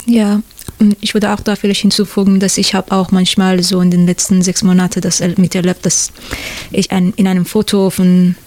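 Persian accent, native Persian speaker, mid pitch, flat intonation, word-searching, reflective radio guest. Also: normal speed, dry recording. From Iran. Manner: searches for words, non-native phrasing. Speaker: interview guest. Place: radio studio.

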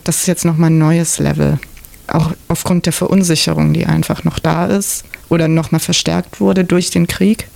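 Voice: medium pitch, gravelly, darkish tone, no echo. A radio interview guest from southern Germany, talking casually and reflectively in a studio discussion.

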